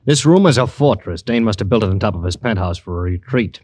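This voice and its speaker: An actor playing a matter-of-fact police doctor, dry and deliberate, in an old radio crime drama. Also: male voice, fairly low pitch, animated, a little mellow, slight background noise, close to an everyday voice. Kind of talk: 1940s-50s radio drama acting.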